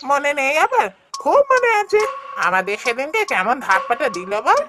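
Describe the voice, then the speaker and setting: A woman voicing a greedy, sly and excitable male village woodcutter in a cartoon fairy tale, with exaggerated delivery. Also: sits high, normal speed, music in the background. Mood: sly, feigning. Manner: exaggerated, animated.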